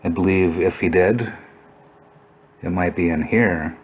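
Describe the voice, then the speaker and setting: A man with a low-pitched voice narrates a video in an informal, explanatory style. He muses as he goes, thinking aloud as he works through what he is examining. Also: a little slow, husky. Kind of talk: informal explanatory commentary over old photos.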